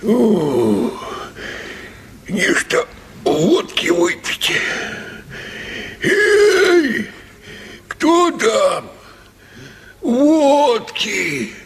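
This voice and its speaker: Miserable hungover comic in a radio play, super slow groany whiny voice, cracking high, bit of noise. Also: male voice, wildly swooping pitch, slightly rough, full character voice. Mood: groaning, miserable. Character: wretched hungover comic actor, whining, pleading, tormented. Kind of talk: Russian radio play acting.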